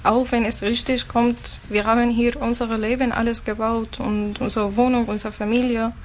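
A woman's voice: on the high side, flat delivery, moderate pace, a little hiss or hum. A woman from Syria with an Arabic accent, answering as an interview guest in non-native phrasing. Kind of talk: personal talk in a radio interview.

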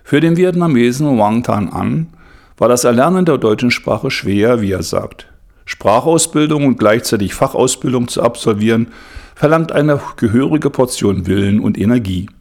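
A male narrator delivers a scripted documentary text in a medium-pitched voice. He reads in prepared, complete sentences as the narrator of the report. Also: expressive, normal speed, darkish tone.

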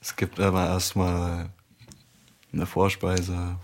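A man from Germany, a rapper guest on a radio show, answering in short, relaxed replies. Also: low-pitched, takes it slowly. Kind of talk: radio interview.

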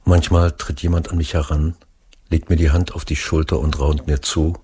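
A man with an extremely deep, heavily husky voice reads a literary essay aloud. His delivery is measured and deliberate, with a rough, breathy grain to the voice that never clears up. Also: level tone, mellow. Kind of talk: reading a book excerpt aloud.

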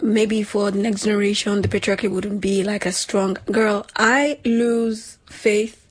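A young woman from Nigeria co-hosting a casual chat show, talking in an animated, slangy way and laughing often. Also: mid-range voice, measured pace, slightly rough. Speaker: podcast co-host.

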